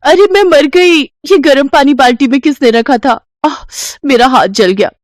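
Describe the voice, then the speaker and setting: A woman's voice: sits high, normal speed, dry recording, studio quality, voice pushed up, close to an everyday voice. A woman voicing a spiteful, bossy daughter-in-law who complains sharply in an audio story. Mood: outraged, accusing. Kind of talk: Hindi audio story dialogue.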